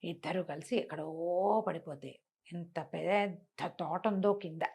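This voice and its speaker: A woman's voice: on the low side, a little slow, creaky. Woman telling a story conversationally. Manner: animated retelling with rhetorical questions and repetition. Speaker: storyteller on a web show. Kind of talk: conversational oral storytelling of a fantasy folk tale.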